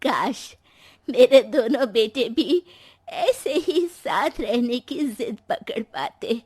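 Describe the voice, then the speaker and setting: An elderly mother from a family storybook tale, acted by a woman, speaks slowly in a husky voice. She is sorrowful and plaintive, with gentle sarcasm toward her grown sons, and her pitch moves in lively swings. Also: exaggerated voice. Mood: wistful. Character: sorrowful, wry old Indian mother and grandmother.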